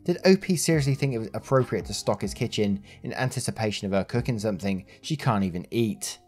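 A man reading Reddit posts aloud in a steady, plain way and adding casual remarks to his listeners. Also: on the low side, quick pace, soft background music. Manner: plain, steady reading with brief laughs and chatty sign-offs. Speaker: Reddit story narrator.

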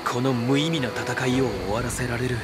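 A man acting an easygoing but earnest young army commander in a Japanese video game, with casual, rough-edged speech. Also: medium pitch, steady tone, moderate pace, close to an everyday voice. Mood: pensive. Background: background music.

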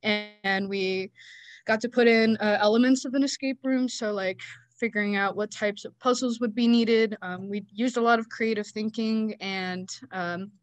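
A woman's voice: mid-range voice, fairly even intonation, normal speed, dry recording. A young woman answering informally as a student panelist on a video call. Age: young adult.

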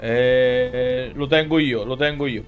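Male game streamer, thirties, Roman accent, mid-pitched, slangy exclamatory live commentary, music underneath. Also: steady tone, takes it slowly, phone-quality sound. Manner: slangy, exclamatory, talking to chat and game characters. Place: indoors at a streaming desk.

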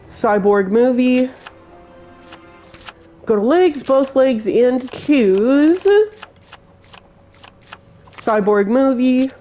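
A man's voice: very high-pitched, mellow. A man with a cold and a congested voice, hosting a step-by-step game build tutorial as a voice-over. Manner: slow, plain instruction-giving voice-over.

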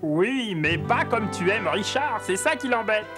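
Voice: medium pitch, lively intonation, a little slow, lightly acted. An actor voicing a kindly old dwarf in a French cartoon dub, matter-of-fact and reassuring. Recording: music under the voice.

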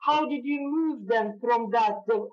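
A young woman with a fairly high voice hosts an interview over a video call, pressing her guest with eager, leading questions. The audio is dull and lo-fi, typical of a laptop mic in a home music room. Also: a little slow. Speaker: interview host. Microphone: laptop webcam on video call.

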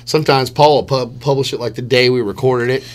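A man's voice: mid-range voice, steady tone, fairly quick. A middle-aged man chatting casually and enthusiastically, telling rambling stories as a musician guest on a radio show. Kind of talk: casual chat. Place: small radio studio.

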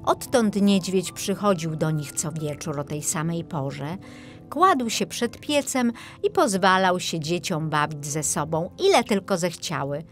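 A woman narrating a scripted children's fairy tale as an expressive audiobook storyteller. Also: fairly low pitch, unhurried, faint music behind.

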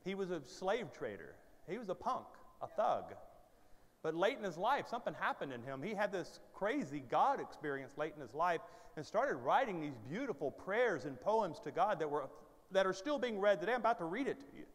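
A pastor in his fifties preaches with a headset mic in a big sanctuary, his fairly high voice trailing a long reverb. He talks like a friend telling stories, then presses hard with urgent exhortation while pacing the stage. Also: sing-song, normal speed. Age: 50s. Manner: conversational, rising to urgent exhortation; paces the stage gesturing. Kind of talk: sermon. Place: large church stage with hall reverb. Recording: hall reverb from large sanctuary.

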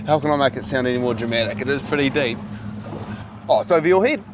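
A man's voice: medium pitch, expressive, fast talker, background noise. A man hosting a fishing show from a boat at sea, chatty and jokey, playfully dramatic, recorded on a lapel mic. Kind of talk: casual on-camera narration. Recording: music bed underneath.

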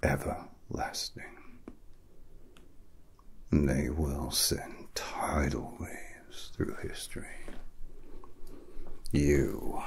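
A young man playing a menacing, grandiose villain scientist in an ASMR roleplay, whispering breathily and theatrically with deliberate, threatening pauses close to the mic. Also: extremely low pitch, gravelly, full character voice.

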